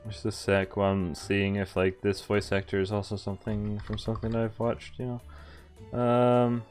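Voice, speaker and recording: A man's voice: lowish voice, completely flat delivery, normal speed, a little mellow, faint music behind. A man giving casual let's-play commentary on a visual novel, switching between offhand reactions and reading game text aloud. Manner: casual, reacts with laughs and interjections. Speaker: streamer playing a visual novel.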